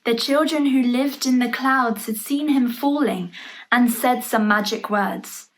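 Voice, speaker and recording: A woman reading a picture book aloud for young children, playfully expressive, adding chatty asides and questions about the pictures, in a noisy home recording. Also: on the high side, a little slow. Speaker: storybook reader for young children. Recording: noticeable background noise, home online recording.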